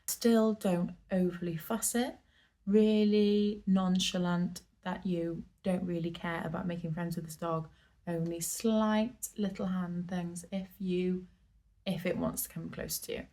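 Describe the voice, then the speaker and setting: A woman in a soft whisper, chatting in a rambling, storytelling way about her work at a dog daycare. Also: lowish voice, steady tone, unhurried. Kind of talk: whispered ASMR talk.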